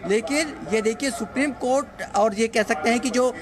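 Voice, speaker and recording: A man reporting live for the news from the street, speaking in a halting, repetitive way with frequent hesitations. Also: extremely high pitch. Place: busy street market, outdoors.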